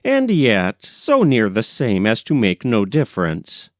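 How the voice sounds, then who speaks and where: A man's voice: mid-range voice, huge pitch swings, unhurried, dry recording, studio quality. A man voicing a hearty, forthright, middle-aged working-class man in an audiobook story. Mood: frank, decided.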